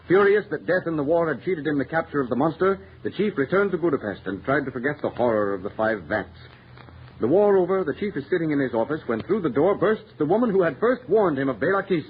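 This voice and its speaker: An actor playing a grave, melodramatic narrator of lurid crime tales in an old-time radio drama. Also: male voice, fairly high pitch, expressive, fast, lightly acted. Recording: muffled, noisy vintage radio recording.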